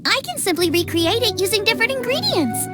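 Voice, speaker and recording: A woman's voice: very high voice, big pitch swings, fairly quick, loud music behind the voice. A woman voicing a cheerful, passionate young girl chef in an anime-style video game, bubbly and earnest. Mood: upbeat, friendly.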